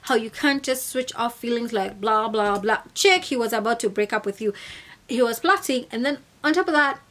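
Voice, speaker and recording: A young woman from Kenya reviewing a reality show in a casual vlog, sassy and animated, acting out the people she quotes. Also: on the high side, normal speed.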